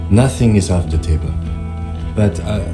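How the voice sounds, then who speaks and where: Game casting: deep-toned, by-the-book police lieutenant, cautious, measured, unflappable. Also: male voice, lively intonation, music in the background. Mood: firm. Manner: measured, formal, firm. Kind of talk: video game voice acting.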